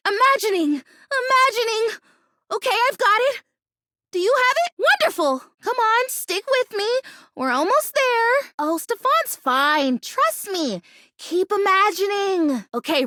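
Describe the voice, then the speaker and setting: A woman's voice: very high voice, sing-song, measured pace, pushed and bright, no echo, studio quality, heavily acted. A woman voicing an earnest, hopeful plastic plant girl who longs to be real, a video game character with a dramatic, childlike way of talking. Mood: eager, instructing. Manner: earnest, dramatic, childlike phrasing and mild insults.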